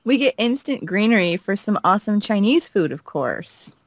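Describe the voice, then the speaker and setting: Woman, animated intonation, close dry sound, playful dialogue reading, teasing, giggly. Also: mid-range voice, normal speed, dry recording. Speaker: gaming video co-host. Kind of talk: playful acted reading of visual novel dialogue.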